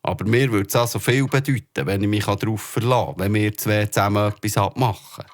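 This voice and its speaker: A man's voice: fairly low pitch, a little fast, lightly acted. An actor playing a kind but worried father, speaking earnestly with a Swiss German accent. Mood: gentle. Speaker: father character. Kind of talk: children's audio drama acting.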